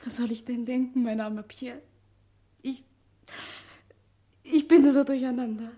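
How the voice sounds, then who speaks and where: A woman's voice: fairly high pitch, steady tone, unhurried, warm tone, lightly acted. An actress playing a distraught, devoted woman with an emotional, dramatic manner in a vintage radio crime play. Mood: hurt, shaken. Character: distraught, devoted woman pleading with her lover.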